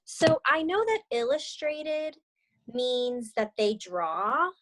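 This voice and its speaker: A young woman reading a children's storybook aloud on a video call, expressive and encouraging as she chats about it. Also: high voice, slow pace. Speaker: read-aloud co-host, story reader.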